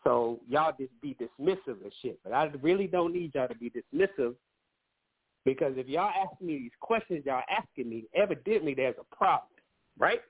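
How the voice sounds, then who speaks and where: A man's voice: on the high side, lively intonation, normal speed, breathy edge. A man hosting a call-in radio show, giving loose, profane relationship advice, a little nasal and wheezy from allergies. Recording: phone-like lo-fi line.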